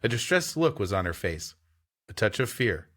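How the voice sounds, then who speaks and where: Male podcast host: dry, wry story reader, bright voice, lots of pitch movement. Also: sing-song, moderate pace. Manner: dry, wry reading voice that breaks into joking asides. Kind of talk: casual banter mixed with story reading.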